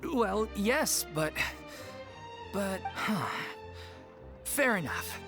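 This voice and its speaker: An actor playing a worried leader of an amateur theater troupe in a video game, speaking in an earnest, apologetic way. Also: male voice, high-pitched, very animated, extremely slow, full character voice. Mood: hesitant. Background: game background music.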